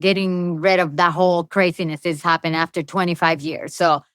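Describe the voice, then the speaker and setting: A woman's voice: fairly low pitch, level tone, moderate pace, fairly bright tone, smooth, no echo, clean studio sound. A middle-aged woman with a Venezuelan accent, a native Spanish speaker, talking casually and at length as a podcast guest. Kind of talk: casual interview conversation.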